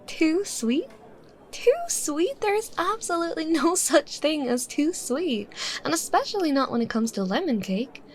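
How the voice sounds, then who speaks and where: A woman playing a bubbly, teasing young woman chatting casually with her secret crush in a roleplay, expressive and playful. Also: high voice, measured pace, slight background noise. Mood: playful disbelief. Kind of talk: roleplay ASMR, acted casual chat.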